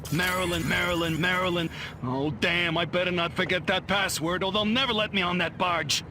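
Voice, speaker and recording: An actor playing a jittery, muttering, nosy soldier in a video game. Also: male voice, high voice, steady tone, moderate pace, sharp tone, slight background noise. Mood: anxious, muttering. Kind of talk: video game cutscene acting.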